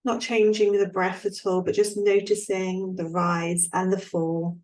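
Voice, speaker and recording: A woman leading a guided relaxation as an instructor, speaking in a calm, soothing way with long, unhurried pauses. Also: medium pitch, low-quality recording.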